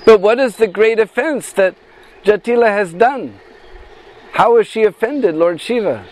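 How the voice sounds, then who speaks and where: Man playing a bossy woman healer, high-ish voice, slow. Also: animated, darkish tone, a little hiss or hum, poor audio. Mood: questioning. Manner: urgent, commanding. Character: confident woman healer, a god in disguise.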